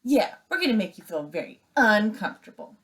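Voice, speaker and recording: A woman's voice: medium pitch, very animated, brisk, fairly bright tone, pitched above the performer's own voice. A woman voicing a grumpy young bear brother in a storybook, snappish and defensive. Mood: hostile.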